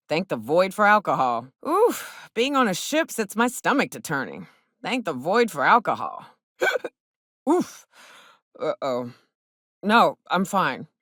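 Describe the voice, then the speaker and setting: A woman's voice: mid-range voice, fairly bright tone, dry recording, clean studio sound, exaggerated voice. A woman voicing a gutsy, hard-drinking hunter and loyal crewmate in a video game, with brash, folksy, slangy delivery. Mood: wry, queasy. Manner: brash, folksy, rough-edged slangy talk. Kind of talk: video game voice acting, combat barks.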